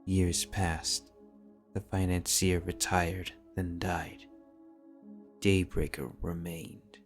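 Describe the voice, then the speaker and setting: A man narrating a fanfiction audiobook in a steady, measured storytelling voice. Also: low voice, faint music behind.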